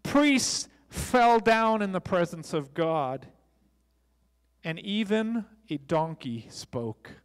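A man's voice: high voice, animated, takes it slowly, clean tone. A middle-aged American man preaching a sermon as a pastor, speaking into a handheld microphone on a church stage. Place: church stage, large indoor room. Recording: long echoey hall reverb.

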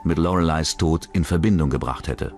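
A man's voice: extremely low pitch, brisk, darkish tone. A man reading scripted true-crime documentary narration. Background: background music.

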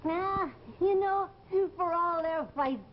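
A woman's voice: very high-pitched, slow. An actress playing an eccentric older landlady, rambling, hesitant and fussy, in early television drama style. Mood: chatty, dithering. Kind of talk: 1950s live television drama acting. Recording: dull, noisy old television soundtrack.

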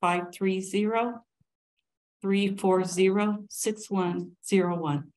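Female clerk, mid-pitched, polite, deliberate, procedural announcements at a public meeting. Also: steady tone, a little mellow, low-quality recording. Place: indoor council chamber.

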